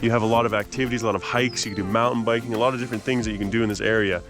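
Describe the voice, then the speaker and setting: Man from canada, travel vlogger, chatty and upbeat, middle pitch, clear music underneath. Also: steady tone, racing. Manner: upbeat, chatty, frequent "uh" fillers. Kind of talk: casual travel narration. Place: outdoors on a mountain dirt road.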